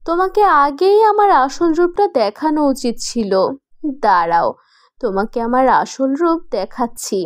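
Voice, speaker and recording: A woman's voice: sits high, lively intonation, moderate pace. A woman voicing a teasing, bossy but kindly young female ghost in a horror cartoon, given to mocking cackles. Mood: teasing threat. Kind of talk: animated horror cartoon voice acting.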